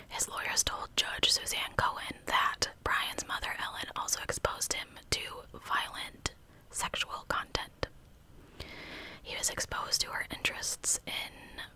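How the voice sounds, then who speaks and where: A woman hosts a true crime ASMR story entirely in a hushed whisper. The sound is very bright and sharp, with almost no voiced tone. She retells the case conversationally, like informal storytelling. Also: rough recording.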